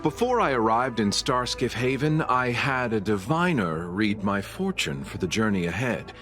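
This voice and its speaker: A man's voice: fairly low pitch, expressive, normal speed, a bit bright, soft background music. A man acting a genial, courteous, theatrical traveller in a fantasy game. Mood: genial, grateful. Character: genial, smooth-talking, courteous male traveller.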